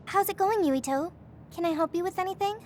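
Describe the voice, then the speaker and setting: An actress playing an earnest, easily flustered young woman in an anime game, with expressive delivery. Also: very high voice, brisk, slight background noise. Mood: earnest, helpful.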